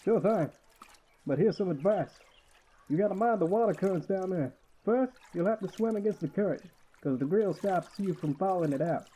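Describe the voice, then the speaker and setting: An actor playing a kindly, folksy old waterworks caretaker in a radio play. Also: male voice, sits high, expressive, moderate pace, mellow, husky, poor audio. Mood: warm, conspiring. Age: older.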